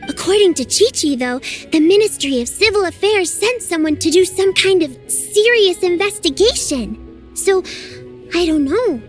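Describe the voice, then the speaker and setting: An actress voicing a cheerful, earnest young girl apprentice in a game, explaining things patiently and brightly. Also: very high-pitched, lively intonation, moderate pace, faint music behind. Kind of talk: game voice acting.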